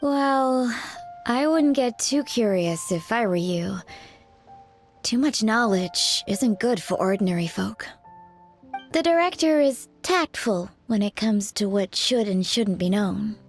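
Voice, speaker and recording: A woman voicing a composed, cautionary parlor staffer in an anime game, measured and knowing. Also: mid-range voice, animated, soft background music. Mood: cautioning.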